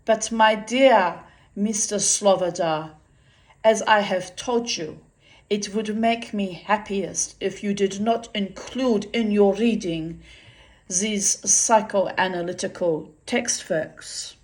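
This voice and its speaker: Lady doing a german shrink guy from an audiobook, slow n formal, talks down to you politely. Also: mid-range voice, natural voice. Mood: polite rebuke. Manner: formal, measured, condescending. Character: bald, bespectacled German male psychoanalyst, politely rebuking.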